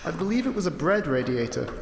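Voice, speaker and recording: Pedantic ship's AI, played by a man, fairly high male voice, droll deadpan delivery, long reverb, radio comedy. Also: fast talker, gravelly, a little hiss or hum. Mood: dry, irked. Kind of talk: sci-fi comedy radio play acting.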